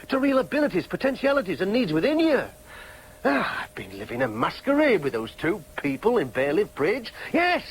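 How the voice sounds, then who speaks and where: Agitated high-voiced Yorkshire son. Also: male voice, fairly bright tone, heavily acted, low-quality recording. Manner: insistent, repetitive, declamatory. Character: agitated, obsessive adopted son hungry for his true identity. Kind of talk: broadcast radio drama acting.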